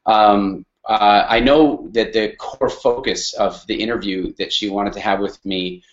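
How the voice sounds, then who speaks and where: A man's voice: fairly low pitch, fairly even intonation, unhurried, muffled recording. A middle-aged Canadian man speaking casually on a webinar, hesitant, with many fillers and restarts. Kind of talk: casual spoken talk on a webinar.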